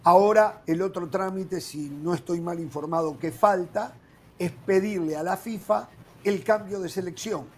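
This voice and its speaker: A man hosting a sports talk panel, animated and leaning on "eh" fillers as he leads a heated debate. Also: high-pitched, normal speed, slightly rough. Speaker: sports talk show host.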